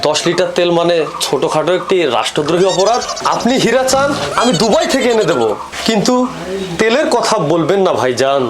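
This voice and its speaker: A man's voice: sits high, sing-song, fairly quick, background noise. A young man playing a desperate, lovestruck suitor in a comic drama, pleading and melodramatic. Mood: earnest, protesting.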